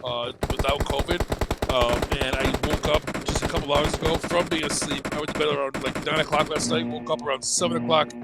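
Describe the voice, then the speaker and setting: A man's voice: on the high side, fairly quick. A man commentating a live esports match, sick with a stuffed nose that leaves him sounding nasal, rambling and joking with lots of fillers. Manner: rambling and jokey, many fillers and restarts. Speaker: esports caster. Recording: steady game noise behind the voice.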